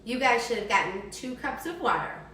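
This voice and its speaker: A woman's voice: fairly high pitch, big pitch swings, normal speed, fairly bright tone. A woman giving a step-by-step cooking demonstration for young children, talking in a simple, encouraging, instructional way. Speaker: teacher demonstrating a recipe for children. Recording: distant mic, echoey room, faint noise.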